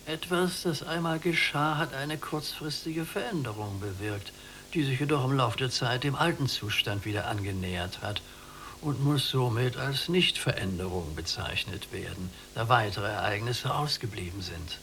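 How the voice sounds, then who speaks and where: An actor playing a weary old prisoner in a literary radio play, gravelly and severe in a measured, declamatory way. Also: male voice, medium pitch, fairly bright tone, slight background noise, close to an everyday voice. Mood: resigned, stern. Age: older. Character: old blind prisoner, weary, stern, resigned.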